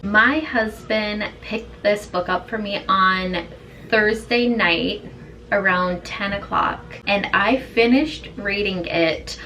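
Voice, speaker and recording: A woman beauty vlogger with a hoarse, raspy voice, chatting in an easygoing, rambling way while doing her makeup at home. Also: mid-range voice, slow, bright, forceful tone, far from the mic, a little hiss or hum, low-quality recording. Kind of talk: chatty get-ready-with-me talk.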